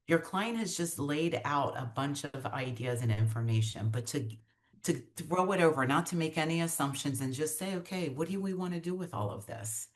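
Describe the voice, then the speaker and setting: A woman's voice: low voice, expressive, moderate pace. A woman executive coach as an interview guest on a video call, explaining her ideas with enthusiasm and some restarts.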